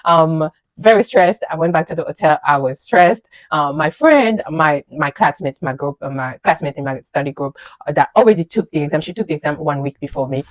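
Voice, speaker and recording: Woman, 30s, first language French. Low-ish voice, animated, emphatic storyteller. Dry and close. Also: fairly quick, dry recording. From French-speaking country, immigrated to the USA. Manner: animated, emphatic, with frequent fillers and repeated words. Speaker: registered nurse, vlogger. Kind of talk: casual personal storytelling to camera.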